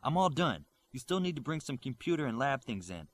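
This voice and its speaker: A man's voice: medium pitch, big pitch swings, brisk, voice pushed up. A man voicing an earnest young male superhero in an audiobook reading.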